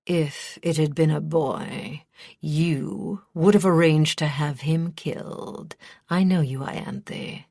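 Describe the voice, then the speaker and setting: A woman voicing a cold, cruel, commanding high prince and father in an audiobook, speaking with soft menace. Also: fairly even intonation, slow pace, dry recording, studio quality, exaggerated voice. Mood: quiet menace.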